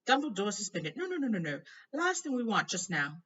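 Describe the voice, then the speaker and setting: A woman's voice: medium pitch, very animated, brisk, a bit bright, husky, distant mic, voice pushed up. A woman voicing an anxious, stammering middle-aged male minister in a storybook reading, pleading and repeating himself. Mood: alarmed, flustered. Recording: noisy, dull home recording.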